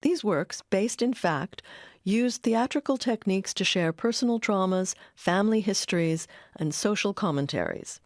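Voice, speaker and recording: A woman's voice: mid-range voice, lively intonation, normal speed, no room sound, studio quality. A woman delivering scripted narration as the narrator of a documentary.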